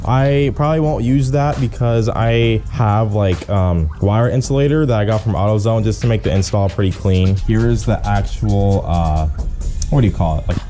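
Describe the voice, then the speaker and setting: A man talking in a casual, laid-back, slangy way as a car vlogger explaining a hands-on job. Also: lowish voice. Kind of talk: casual how-to chat.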